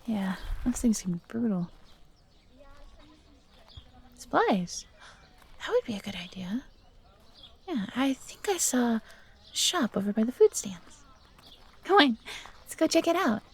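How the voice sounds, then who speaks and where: A woman playing a friendly, eager young female fox companion in an audio roleplay, chatty, upbeat and expressive. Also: on the high side, a little slow, long pauses, slight background noise. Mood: cheerful, eager. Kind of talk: audio roleplay acting addressed to the listener.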